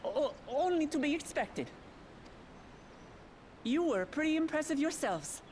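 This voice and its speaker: High, animated brash young warrior woman. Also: measured pace, a little hiss or hum. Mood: boastful, hearty. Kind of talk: video game voice acting.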